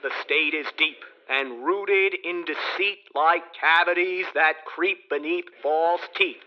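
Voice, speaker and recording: A man playing a troubled king charged with treason, speaking in a measured, earnest way in verse for a radio drama. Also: a little high. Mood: brooding. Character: troubled modern king on trial. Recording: dull lo-fi audio with faint hiss.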